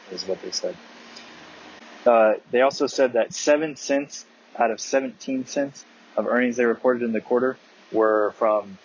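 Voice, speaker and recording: Low-voiced man, muffled noisy recording. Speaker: amateur investing commentator. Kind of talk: casual earnings call analysis from notes. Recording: muffled audio with clear background noise.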